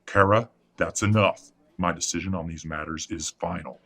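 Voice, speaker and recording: A man's voice: deep voice, moderate pace, bright, forceful tone, exaggerated voice. A man playing a middle-aged, imperious werewolf patriarch in an audio drama, speaking formally and condescendingly as he controls his family. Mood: commanding. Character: imperious wealthy werewolf patriarch, coldly polite, controlling father. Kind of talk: scripted audio drama acting.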